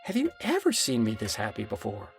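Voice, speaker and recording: An actor playing a proud, defensive heir to a family business in an audio drama, brooding and imperious, over music. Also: male voice, on the low side, wildly swooping pitch, brisk, gravelly, full character voice. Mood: reflective, proud. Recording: music underneath.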